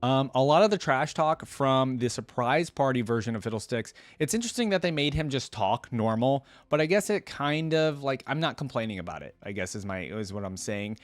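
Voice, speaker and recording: A man's voice: medium pitch, animated, fairly quick, hardly any pauses, no echo. A man hosting a reaction video, giving casual, unscripted commentary to viewers in a rambling, conversational way.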